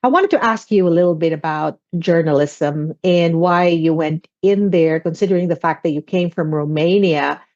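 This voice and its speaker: A woman's voice: lowish voice, moderate pace, few pauses, a little mellow, highs cut off. A woman hosting an interview podcast, introducing her guest and reacting with enthusiasm. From immigrant. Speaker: podcast host.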